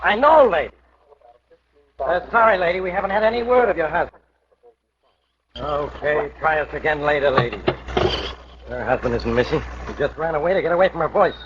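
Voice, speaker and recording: An actor playing a gruff, sarcastic, irritable homicide inspector in a 1940s radio detective drama. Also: male voice, on the high side, fairly quick, pauses often, crowd noise, close to an everyday voice. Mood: sarcastic, dismissive. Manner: wisecracking, brusque. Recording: muffled, noisy 1940s radio recording.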